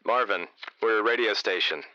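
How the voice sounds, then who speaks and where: A man playing a casual, gently needling radio host with a dry, teasing way of talking in a comedy audio drama. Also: fairly low pitch, normal speed, no room sound, highs cut off.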